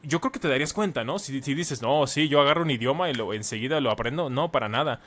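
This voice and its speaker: Brief: man in his thirties, podcast guest, mid-pitched voice, earnest and explanatory, conversational. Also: quick pace, fairly bright tone. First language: Spanish. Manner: earnest, explains at length with many examples. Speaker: guest, language teacher and author.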